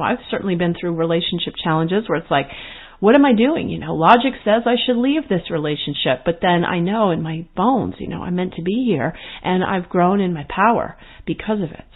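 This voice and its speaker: A woman hosting her own podcast, talking earnestly and reflectively about life paths and now and then acting out the thoughts of her clients. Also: medium pitch. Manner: earnest, reflective, with quoted inner voices. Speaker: podcast host and coach. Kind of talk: solo podcast monologue. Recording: phone-like narrow sound.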